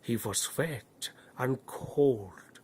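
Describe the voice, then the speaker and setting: Slow, husky man telling a bedtime story. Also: mid-range voice, a little mellow. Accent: put-on Norse.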